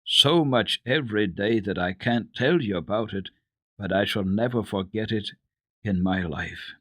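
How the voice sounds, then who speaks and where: An affectionate young storybook girl, voiced by a man, speaks with earnest enthusiasm. The intonation is highly animated and the sound is dry. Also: no room sound.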